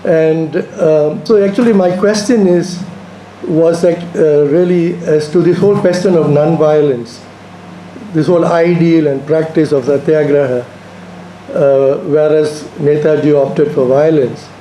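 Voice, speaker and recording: Dark-voiced man asking a halting question. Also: fairly high pitch, steady tone. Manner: hesitant, halting, polite. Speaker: audience member asking a question. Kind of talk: spontaneous academic question. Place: lecture room at a university. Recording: roomy echo, faint room noise.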